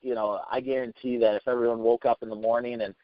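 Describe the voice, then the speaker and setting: A man hosting a business training call, giving an informal motivational talk with halting, repetitive, hesitant phrasing. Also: mid-range voice, flat delivery. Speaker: sales team leader hosting a training conference call. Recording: muffled conference phone call.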